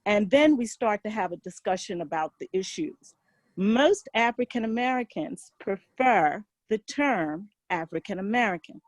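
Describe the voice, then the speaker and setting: A woman's voice: medium pitch, dry recording. A woman on a video call speaking earnestly and emphatically, repeating key points. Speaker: participant.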